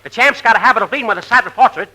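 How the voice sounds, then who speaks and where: An actor playing an insistent boxing trainer giving garbled, cautioning advice in 1940s radio comedy. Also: male voice, very high-pitched, racing, bright, forceful tone, exaggerated voice. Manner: insistent, cautioning, nonsense double-talk.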